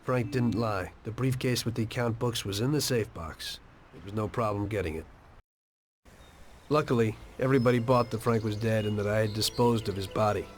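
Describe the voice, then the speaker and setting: An actor playing a conscientious, streetwise American mobster in a video game, plain-spoken and wry, with a weary air. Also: male voice, fairly low pitch, normal speed, a little hiss or hum, natural voice. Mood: matter-of-fact. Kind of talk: dramatic video game dialogue and confessional narration.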